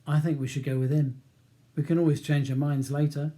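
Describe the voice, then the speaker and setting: A man plays a tall Cockney crook in an audiobook. He has a mellow rumble and talks plainly and informally, practical and agreeable. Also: flat delivery, brisk, close to an everyday voice. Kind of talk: audiobook character dialogue.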